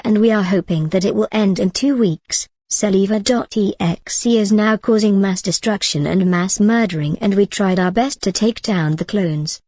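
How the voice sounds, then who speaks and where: Woman as a mellow, defiant teenage cat-girl heroine. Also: medium pitch, no room sound, natural voice. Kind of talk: amateur scripted audio drama.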